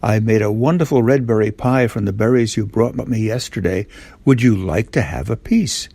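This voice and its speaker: A kindly, welcoming storybook girl read by an older man in a fairly low voice. The manner is generous and hospitable, suited to a bedtime tale, and the sound is dry. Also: normal speed, vocal fry, warm tone, dry recording. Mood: kindly offering.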